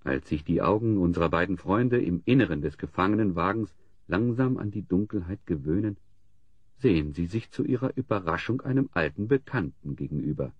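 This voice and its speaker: A man's voice: low voice, animated, a little fast, breathy edge, no room sound, dull audio. A man playing the solemn narrator of a fantasy audio drama, telling the tale in a theatrical, scripted style. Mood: dramatic, solemn. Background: music.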